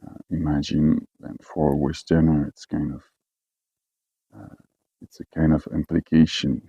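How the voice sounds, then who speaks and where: A man from French-speaking Switzerland with a Swiss French accent, talking casually in halting English with many fillers and restarts. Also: extremely low pitch, level tone, gravelly, warm tone, slightly rough, no room sound, highs cut off. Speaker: expatriate herder. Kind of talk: casual personal talk.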